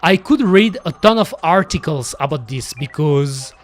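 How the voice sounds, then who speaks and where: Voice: medium pitch, lively intonation, a little slow. Streamer. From Belgium. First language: French. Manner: rambling, with frequent fillers and casual swearing. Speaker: gaming streamer. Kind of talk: casual chat while playing.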